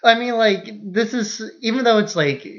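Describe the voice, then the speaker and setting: A man's voice: sits high, moderate pace, muffled recording. A young adult man co-hosting a podcast and chatting casually about films. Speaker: podcast co-host. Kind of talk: casual film discussion.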